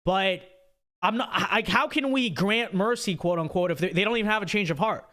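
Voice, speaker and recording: A man's voice: sits high, brisk, pushed and bright. A young man hosting a livestream, giving opinionated commentary with many restarts and reading viewer super chats, his voice scratchy and sore. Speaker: livestream host.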